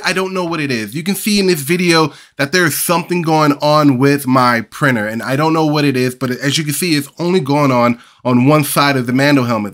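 A man in his thirties with a nasal voice from a cold, talking animatedly to camera in a casual home-studio vlog, exasperated at times. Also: mid-range voice. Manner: animated, big hand gestures, exasperated asides. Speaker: 3D printing channel host. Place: small home studio room with collectibles, indoors. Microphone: over-ear headset, webcam framing.

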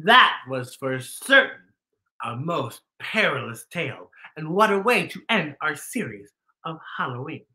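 Theatrical, high-pitched man hosting storytime. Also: very animated, sharp tone, reverberant, rough recording. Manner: campy, drawn-out, exclaiming dramatic delivery. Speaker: children's storytime host. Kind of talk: theatrical storybook reading for children. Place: decorated indoor storytime set.

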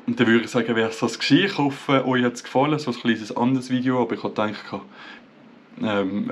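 A man's voice: fairly low pitch, a little hiss or hum. Rambling young Swiss German man. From Switzerland. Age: 20s. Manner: rambling, hesitant, many restarts and fillers. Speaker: vlogger. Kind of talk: casual chat to camera. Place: small home office with desk and monitors. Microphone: camera at arm's length.

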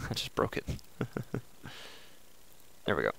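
A young man with a raspy voice, casually chatting and thinking aloud as he hosts a gameplay video. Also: slow pace, rough recording. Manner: relaxed, chatty, thinking aloud while playing. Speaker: let's play host.

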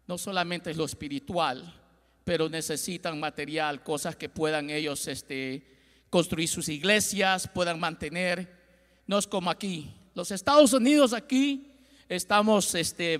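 A man's voice: high-pitched, fairly bright tone. A middle-aged man from Ecuador preaching a sermon on a large church stage into a handheld mic, calling for responses from the congregation. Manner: preaching with call-and-response, gesturing and pacing the stage. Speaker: pastor. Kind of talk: Spanish-language church sermon. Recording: long hall reverb.

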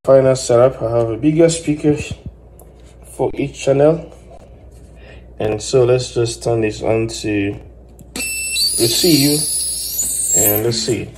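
A man's voice: mid-range voice, huge pitch swings, slow pace, pauses often, a little mellow, low-quality recording. A man giving an informal, step-by-step hands-on demonstration as the host of an electronics tutorial. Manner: explanatory, step by step. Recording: faint background noise.

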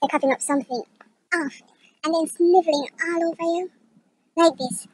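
A woman performing a theatrical audition monologue as an exasperated, emphatic complainer disgusted by sick people, with deliberate pauses. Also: very high-pitched, fairly even intonation, darkish tone, slight background noise, poor audio. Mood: disgusted complaint.

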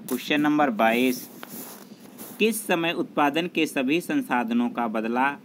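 A man's voice: medium pitch, animated, measured pace, a bit bright, a little hiss or hum. A man working as an exam tutor, methodically reading out multiple-choice questions and their answers.

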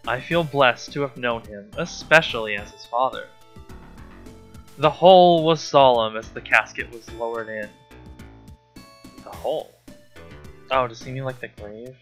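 A man's voice: a little high, sharp tone. A man reading aloud and commenting off the cuff as a host, sarcastic and incredulous, with exasperated, profane asides.

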